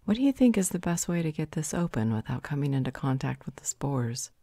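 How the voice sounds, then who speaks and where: A woman's voice: deep voice, very animated, brisk, mellow, dry recording, heavily acted. A woman playing a serious, worried werewolf in a fantasy audio roleplay, with an earnest manner. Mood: wary.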